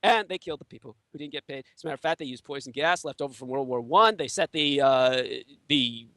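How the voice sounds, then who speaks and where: A man from Taiwan whose first language is Chinese, giving an intense, emphatic monologue as an interview guest, with his pitch swinging sharply and frequent filler hesitations. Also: fast talker, sharp tone, no echo. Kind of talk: conspiracy-theory monologue.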